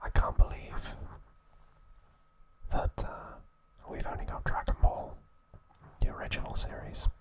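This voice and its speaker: A man hosting an ASMR channel, whispering trivia softly and gently. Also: poor audio. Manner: soft unvoiced whisper, slow and gentle.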